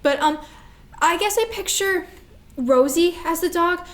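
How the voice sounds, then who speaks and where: A woman playing an anxious, confused little girl with a hesitant, halting delivery in a staged drama reading. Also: very high-pitched. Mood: anxious, confiding. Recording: roomy, echoing stage recording.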